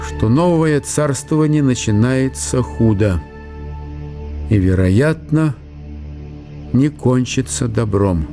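A man's voice: mid-range voice, lively intonation, slow pace, pauses often, mellow, faint music behind. A man narrating a historical audio play in a scripted, literary storytelling style.

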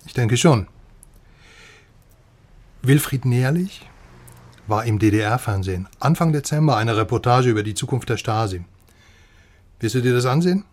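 An actor playing a wry, obliging informant friend in conversational radio drama dialogue. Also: male voice, medium pitch, lively intonation, measured pace, long pauses. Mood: teasing. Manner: casual, wry, teasing.

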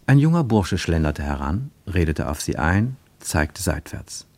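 A man reading a literary story as a scripted radio narration, with expressive, dramatic phrasing. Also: deep voice, measured pace, no echo.

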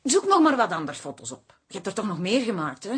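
An actress playing a sharp-tongued, indignant middle-aged woman in a radio drama, haughty and accusing. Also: mid-range voice, sing-song, fast talker, breathy edge.

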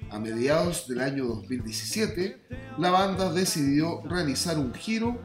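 A man's voice: a little high, expressive, a little slow. A man with a Chilean accent hosting a radio newsmagazine, reading a prepared script. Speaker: radio host. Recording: roomy voice over music. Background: music with plucked guitar.

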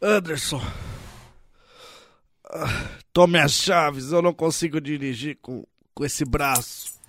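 A man playing a wounded, worn-out tiger detective in an audio drama, with halting, strained delivery. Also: fairly high pitch. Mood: weary, pained. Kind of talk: scripted audio drama acting. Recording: voice over loud background music.

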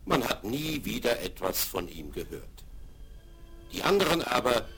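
A man's voice: mid-range voice, sing-song, a little slow, a bit bright. A man narrating an adventure radio play in scripted storytelling style. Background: music.

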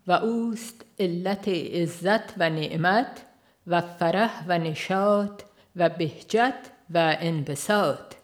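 A woman reads a religious book as a formal audiobook narrator, in a solemn, measured way. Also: fairly low pitch. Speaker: audiobook reader.

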